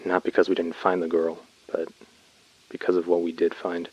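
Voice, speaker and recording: A man's voice: sits low, completely flat delivery, moderate pace, highs cut off. A man playing a weary, uneasy colonist recording a subdued, brooding field message in a sci-fi audio drama. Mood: tense, grim.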